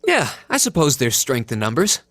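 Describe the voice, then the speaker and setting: An easygoing young male hero, with an American accent. He sounds friendly and modest, with very varied pitch, in a close, dry game recording. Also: huge pitch swings, normal speed, fairly bright tone, no room sound. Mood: calm, casual. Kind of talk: video game voice acting.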